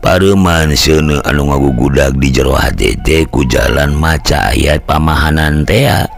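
A middle-aged man with a Sundanese accent telling a scripted story in an unhurried, folksy way. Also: extremely low pitch, vocal fry. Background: faint music.

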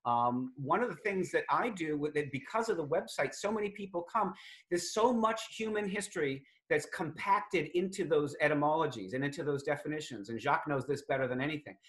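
A middle-aged man from Greater Boston with a Boston accent, explaining language on a video call from his home study, articulate and measured with frequent fillers. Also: on the high side. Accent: Boston, non-rhotic. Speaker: lexicographer guest. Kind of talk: thoughtful conversational explaining.

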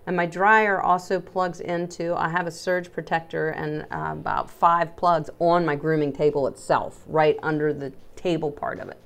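A middle-aged woman presents a casual how-to vlog in a slightly low-pitched voice. She is chatty and emphatic, and she addresses her viewers directly. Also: fairly even intonation, normal speed, gravelly, few pauses. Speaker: dog groomer presenting salon setup.

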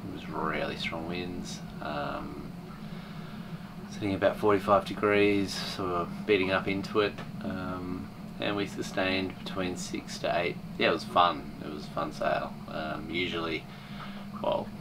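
A man's voice: low-pitched, a little slow. A man giving a casual, unscripted sailing video diary. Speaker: sailing vlogger. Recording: distant mic, steady background hum.

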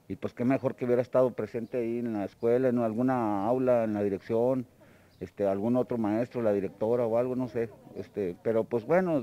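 A man's voice: mid-range voice, highs cut off. A man, a parent, speaking hesitantly and reflectively with many fillers into a reporter's mic outside a house. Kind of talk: street interview. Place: outdoors in front of a house. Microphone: handheld reporter mic.

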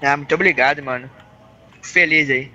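A man's voice: fairly high pitch, brisk, sharp tone, muffled recording, a little hiss or hum. A man in a game roleplay playing a mechanic, drawling and calling everyone rapaz. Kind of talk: in-character roleplay.

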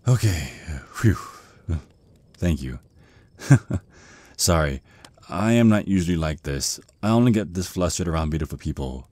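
A man playing a shy, flustered young male vampire on a first date in an ASMR roleplay, speaking with nervous stammers and awkward, halting rambles. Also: deep voice, lively intonation, warm tone, no echo. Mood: apologetic, flustered.

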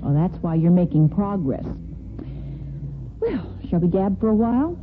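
An actress playing a tired, tender-hearted nursing superintendent in a 1950s radio soap, speaking gently and haltingly. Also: female voice, on the low side, big pitch swings. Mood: tender, encouraging. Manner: hesitant, gentle, halting speech. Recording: muffled old radio broadcast, faint music.

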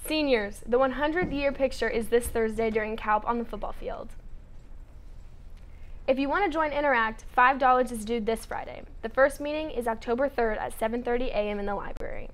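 A woman's voice: fairly high pitch, fairly quick. A teenage American young woman reading scripted announcements as a school news anchor. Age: teens. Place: indoor school studio.

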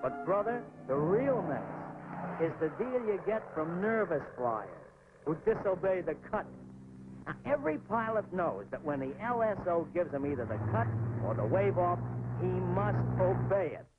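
A jittery old-hand cartoon warplane with a high voice that swoops up and down. The talk is folksy and gossipy, tripping into stammers and repeats. It sounds like a 1940s training cartoon. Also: male voice, big pitch swings, normal speed, noisy background. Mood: warning. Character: jittery, battered talking navy airplane, male, gossipy veteran. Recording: tinny 1940s film soundtrack with music.

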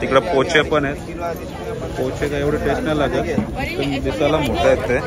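A man's voice: medium pitch, flat delivery, fairly quick. A young man with an Agri Marathi accent, chatting casually to viewers as he walks through an outdoor fish market and points out the fish and their prices. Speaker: vlogger. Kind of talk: casual walk-through vlog. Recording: loud market crowd behind. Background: loud crowd of traders and shoppers.

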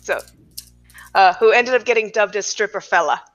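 A woman's voice: mid-range voice, normal speed, fairly bright tone, rough recording. A young adult woman hosting a casual podcast chat from home, speaking into a headset on a video call. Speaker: podcast host.

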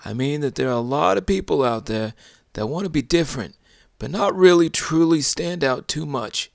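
A man's voice: fairly high pitch, lively intonation, moderate pace, vocal fry, no echo, clean studio sound, voice pushed up. A man voicing a whimsical, confident animal puppet sidekick in children's banter. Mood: musing.

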